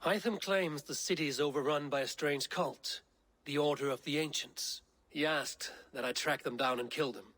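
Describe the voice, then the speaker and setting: Husky, steady Viking raider. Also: male voice, on the high side, moderate pace, no room sound. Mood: measured, purposeful. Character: gruff, steady Viking raider, loyal and plainspoken. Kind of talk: video game cutscene dialogue.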